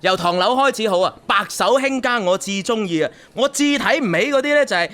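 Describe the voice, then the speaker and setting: High-voiced smug rich young Hong Kong man. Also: lively intonation, a little fast, fairly bright tone. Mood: self-satisfied boasting. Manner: showy, smug, peppers talk with tags and English. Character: boastful, condescending rich young ex-boyfriend, a spoiled heir. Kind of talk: radio drama acting.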